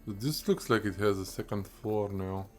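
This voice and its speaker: A man's voice: lowish voice, normal speed, darkish tone, soft background music. A man giving casual commentary as he streams his live playthrough of a game.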